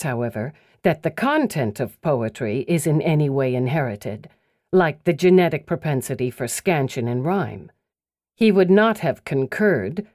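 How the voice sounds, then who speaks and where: A woman reads literary writing as an audiobook narrator, slowly and deliberately. Her voice is mellow and moves expressively up and down in pitch, with each phrase precisely placed. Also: dry recording, clean studio sound. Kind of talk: scripted literary essay and poetry narration.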